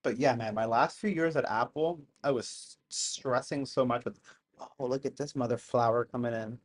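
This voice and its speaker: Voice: mid-range voice, normal speed. A person in their thirties chatting casually with live-stream viewers from home in the countryside, rambling and laughing often. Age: 30s.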